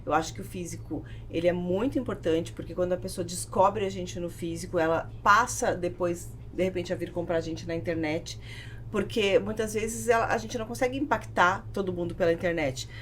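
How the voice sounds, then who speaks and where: A woman's voice: on the low side, fairly quick, slight background noise. A Brazilian woman talking casually as a business guest on a podcast. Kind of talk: casual interview talk.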